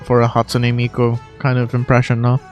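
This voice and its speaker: A man's voice: medium pitch, monotone, a little fast, over music. A man chatting casually as a streamer, joking and swearing freely between voice acting takes. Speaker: streamer voice acting game lines. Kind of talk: casual chat between read lines.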